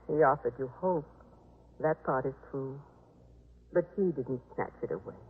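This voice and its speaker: An actress playing a gentle, anxious, compassionate wife in a 1950s radio drama, earnest and pleading in manner. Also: female voice, very deep voice, measured pace, husky, full character voice. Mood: consoling. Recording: muffled phone-like 1950s radio recording.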